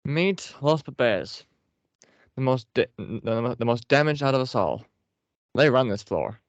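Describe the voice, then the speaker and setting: A man's voice: mid-range voice, lively intonation, a little slow, no echo, highs cut off. A man voicing a weary male paper-ball general who speaks gloomily and sarcastically. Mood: gloomy.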